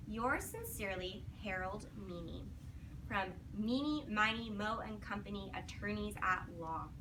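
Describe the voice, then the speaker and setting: A woman teacher reading aloud to young children in a classroom, with simple instructional prompts and praise. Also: mid-range voice. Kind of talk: read-aloud lesson with questions to children. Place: classroom, recorded from a distance. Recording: distant mic, noisy classroom.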